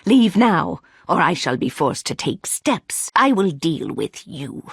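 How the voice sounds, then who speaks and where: A stern, possessive guardian woman in a fantasy game. She speaks slowly and commandingly in a husky voice with gravelly creak, full of menace. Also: on the low side, big pitch swings, no room sound, studio quality, full character voice. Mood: stern, threatening. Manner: commanding, menacing, slow and deliberate. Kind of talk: fantasy video game acting.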